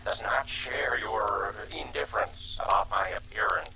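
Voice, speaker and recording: A man playing a timid, wary alien refugee from another dimension in a radio drama, speaking in stilted, formal, halting phrases. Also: lowish voice, bright, forceful tone, far from the mic, a little hiss or hum, heavily acted, rough recording. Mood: fearful, mournful.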